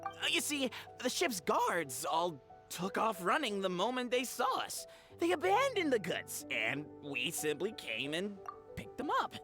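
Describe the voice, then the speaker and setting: Nervous thief henchman for a game, voice jumping all over, sharp and stammery, bit of music under. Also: sits high, unhurried, exaggerated voice. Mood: nervous excuses. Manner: stammering, pleading, excitable. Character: nervous petty thief henchman, cowed, babbling and boastful. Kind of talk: video game dialogue.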